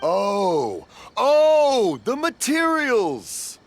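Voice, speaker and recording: Kindly rambling old man, animated delivery. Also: very high voice, very slow, background noise, heavily acted. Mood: befuddled. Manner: rambling storyteller, cheerfully befuddled. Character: kindly, rambling, hard-of-hearing old father, fireworks craftsman. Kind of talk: animated video game voice acting. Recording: music behind the voice.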